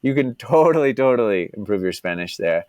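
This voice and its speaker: A man's voice: medium pitch, expressive, fairly quick, darkish tone, dry recording, studio quality. A young man from Dallas, chatting casually as a podcast guest, rambling and filling his talk with "like" and "you know". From Dallas, United States. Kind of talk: casual interview chat.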